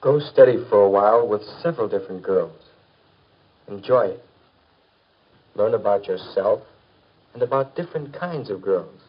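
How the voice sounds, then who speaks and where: An earnest American teenage boy in a 1950s instructional film. His voice is slightly rough and his manner polite and mild. The recording has a muffled vintage soundtrack. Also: on the low side, lively intonation, moderate pace, long pauses. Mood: thoughtful. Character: earnest, polite teenage son and high-school boyfriend. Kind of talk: 1950s educational film acting.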